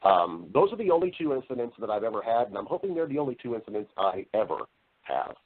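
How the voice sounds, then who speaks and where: A man's voice: mid-range voice, sing-song, fast. A middle-aged American man telling personal stories as an interview guest, speaking earnestly and emphatically, often repeating phrases as he goes. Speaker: podcast guest, voice-over artist and storyteller. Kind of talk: conversational interview storytelling. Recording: narrow-band, radio-like call audio.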